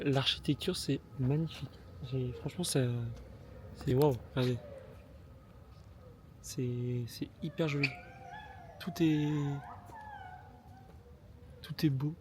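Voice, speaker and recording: A man's voice: fairly high pitch, fairly even intonation, unhurried, pauses often, darkish tone. Brief: Chatty male travel vlogger, loose and slangy, street noise around a handheld camera mic. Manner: loose, slangy and self-mocking, with frequent fillers. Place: mostly outdoors in sunny, busy city streets. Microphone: small handheld gimbal camera mic.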